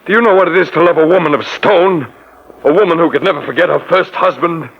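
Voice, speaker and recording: An actor playing a mad, murderous doctor and bitterly jealous husband in a menacing, melodramatic 1940s radio style. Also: male voice, fairly high pitch, quick pace. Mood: bitter, gloating. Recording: muffled vintage 1940s radio recording.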